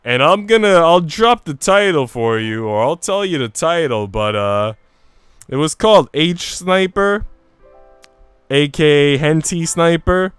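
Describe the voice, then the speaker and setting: A man's voice: on the high side, lively intonation, a little slow, a bit bright, clean tone, dry recording. A man chatting casually on a game livestream in an informal, slangy, rambling way. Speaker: game streamer. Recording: music playing behind the voice. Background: music.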